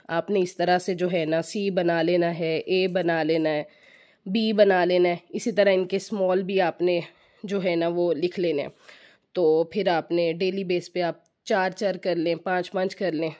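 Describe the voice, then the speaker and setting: A woman with a slightly hoarse throat, giving a chatty home tutorial for mothers that mixes in English words. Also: lowish voice, a little mellow. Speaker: home teaching channel host. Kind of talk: casual home tutorial talk.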